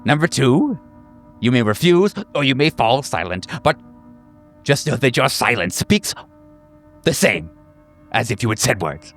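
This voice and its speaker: A man voicing a fawning, sinisterly earnest group therapy doctor in a tabletop game, halting and repeating himself emphatically with nervous chuckles. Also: medium pitch, very animated, fairly bright tone, pitched above the performer's own voice, exaggerated voice. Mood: earnest, solemn. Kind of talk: theatrical group-session address.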